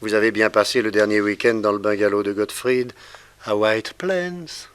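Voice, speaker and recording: Actor as frank, loyal detective lieutenant. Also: male voice, fairly low pitch, normal speed, smooth, lightly acted. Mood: pressing, pointed. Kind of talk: 1960s French radio drama acting.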